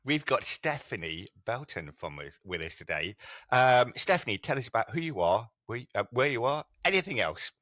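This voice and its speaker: Bright-voiced man with dry close sound. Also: mid-range voice, sing-song, moderate pace, dry recording. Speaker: podcast co-host. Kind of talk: casual podcast chat.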